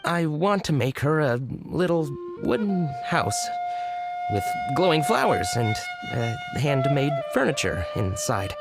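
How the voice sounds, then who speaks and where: A man's voice: a little high, big pitch swings, measured pace, creaky, loud music behind the voice, heavily acted. A man voicing a sheepish, apologetic town drunkard in a video game, hesitant and bumbling. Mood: earnest.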